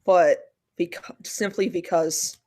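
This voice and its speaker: A woman vocalist, a guest on a podcast, talking in an animated way and restarting her sentences. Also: on the low side, slow pace. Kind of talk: podcast conversation.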